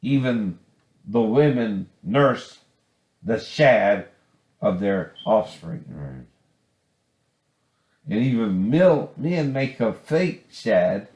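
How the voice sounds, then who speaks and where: A man's voice: lowish voice, darkish tone. A man giving rambling, informal commentary in a bitter, profane, confrontational manner. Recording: echoey, roomy sound.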